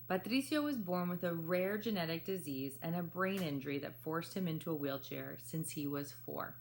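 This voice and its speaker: A woman's voice: low voice, slight background noise. A woman reading a children's picture book aloud, expressively and speaking straight to young listeners. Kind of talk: children's read-aloud storytelling.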